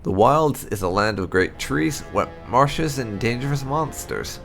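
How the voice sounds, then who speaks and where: A man hosting a gaming channel, reading fantasy game lore aloud in a measured storytelling way. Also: mid-range voice, expressive, vocal fry. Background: background music.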